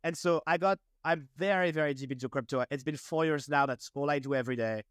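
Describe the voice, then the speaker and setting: A man's voice: fairly high pitch, fast talker, smooth, no room sound, studio quality. Upbeat young French-accented man. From France. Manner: upbeat, energetic, heavy use of "like". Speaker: guest entrepreneur. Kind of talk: casual interview conversation.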